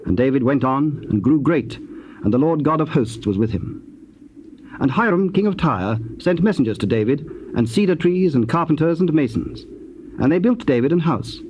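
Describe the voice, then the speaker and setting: A man with a British accent narrating scripture in a formal, measured way. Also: mid-range voice, a little mellow. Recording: dull, faintly noisy old cassette.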